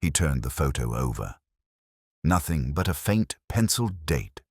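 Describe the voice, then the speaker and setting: Very deep, husky male storyteller. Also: big pitch swings, a little slow, no echo, studio quality. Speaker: narrator. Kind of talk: scripted mystery audiobook narration.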